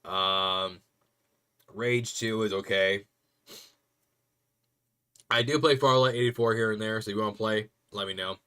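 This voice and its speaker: A young man rambling casually to viewers on a stream, chatty and quick to laugh. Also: on the low side, sharp tone, clean tone.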